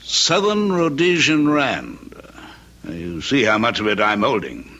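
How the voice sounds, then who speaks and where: An actor playing a stern, tight-fisted middle-aged father and lawyer in a radio drama, curt and deliberate. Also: medium pitch, big pitch swings, bright, forceful tone, muffled recording, full character voice. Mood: calculating, persuasive. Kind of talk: old-time radio drama acting.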